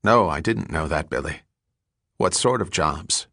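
A man playing a terse, watchful investigator in an audiobook story, asking short, calm questions. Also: rumbling low, measured pace, dry recording, clean studio sound, pitched below the performer's own voice, heavily acted. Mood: calm, grave.

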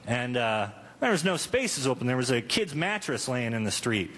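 A man's voice: medium pitch, sing-song, normal speed, a bit bright. A man in his thirties doing a conversational, crude, self-deprecating stand-up comedy routine. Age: 30s. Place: live comedy show before an audience. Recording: live club recording, noisy audience. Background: audience noise and crowd ambience.

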